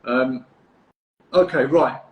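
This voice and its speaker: A man from the UK, a freediving coach, hosting a casual podcast interview in a relaxed, encouraging way, often repeating words as he starts a thought. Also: medium pitch, takes it slowly, reverberant. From United Kingdom.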